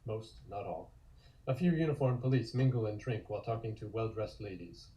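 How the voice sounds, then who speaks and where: Low, mellow male narrator. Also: fairly quick, low-quality recording. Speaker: narrator reading stage directions. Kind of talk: scripted narration.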